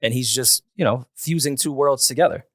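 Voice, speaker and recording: A man's voice: mid-range voice, a little fast, dry recording. A man in casual podcast banter, with a dry, wisecracking manner. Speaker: podcast host.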